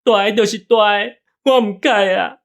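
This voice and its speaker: A man's voice: high-pitched, steady tone, moderate pace, creaky. A man playing a hot-headed, loyal young gangster with a Taiwanese accent in a radio drama. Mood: tearful devotion. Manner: agitated, impassioned.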